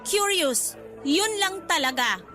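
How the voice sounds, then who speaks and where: A woman's voice: very high voice, expressive, slow pace, bright, forceful tone, full character voice. A woman acting a hurt, defiant young woman still in love, confrontational and emotional, in a radio romance drama. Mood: guarded, bitter. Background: background music.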